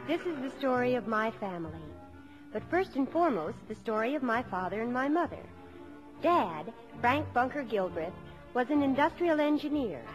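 A woman's voice: fairly high pitch, rough recording. An actress playing a fond daughter who narrates her family story in 1950s radio drama style. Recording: old radio broadcast with music behind.

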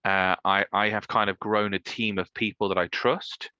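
A man in his thirties speaks as an interview guest in a very deep, forceful voice. He rambles and often restarts his sentences. The sound is dry and a little dull. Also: level tone, normal speed, clean tone, dry recording. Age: 30s. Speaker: guest, entrepreneur. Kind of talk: casual interview chat.

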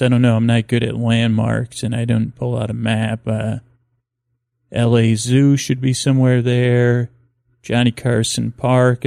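A man hosting a sleep podcast, rambling in an improvised, halting way, often trailing off, with low-key, self-deprecating drollness. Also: creaky, mellow, no echo, clean studio sound. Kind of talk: rambling, improvised descriptive monologue. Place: hotel room by a window.

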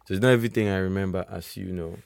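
Deep gravelly man, casual podcast chat. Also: very fast, dry recording. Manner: relaxed, rambling with restarts and laughs. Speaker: podcast co-host.

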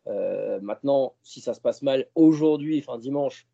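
A man's voice: medium pitch, normal speed, vocal fry, mellow. A man, a podcast guest chatting casually, rambling with fillers and restarts. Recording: dull lo-fi sound.

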